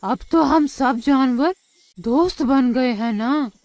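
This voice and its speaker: Cartoon rooster, woman performer: clever, unhurried, higher-pitched, mock-innocent teaser, dry close sound. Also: darkish tone, dry recording. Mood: teasing. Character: clever, cool-headed teasing male rooster. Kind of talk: children's animated moral story acting.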